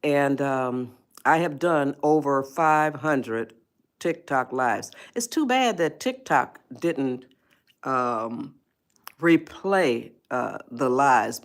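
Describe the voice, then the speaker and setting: An eighty-one-year-old woman chats on her live stream. She talks slowly and drifts between thoughts. She laughs easily and stops to greet her viewers. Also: very deep voice, animated. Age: 80s. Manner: chatty, rambling, laughs often, greets viewers. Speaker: livestream host. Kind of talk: casual live-stream chat.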